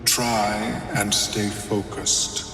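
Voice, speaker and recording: A calm alien scholar speaks slowly in a low voice, every phrase formal and exact. The courteous game creature sounds set back in a long, reverberant hall. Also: male voice. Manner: measured, formal, precise diction. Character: calm, scholarly, courteous mind flayer alchemist, an otherworldly male creature. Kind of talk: video game character acting. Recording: echoing reverberant hall, distant, with music.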